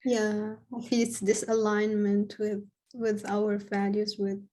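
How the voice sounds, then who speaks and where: A woman in her thirties from Tunisia talking as an interview guest on a video call, earnest, with halting phrasing. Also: medium pitch, completely flat delivery, mellow. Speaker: health coach, podcast guest. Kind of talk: conversational interview. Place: home room, indoors.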